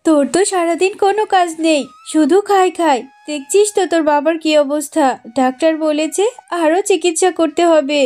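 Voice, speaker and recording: A woman's voice: extremely high pitch, fairly quick, darkish tone. A woman voicing a devoted, worried fox wife and mother in a cartoon story, with plaintive, homely, emphatic speech. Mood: exasperated. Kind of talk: dramatised Bangla cartoon story.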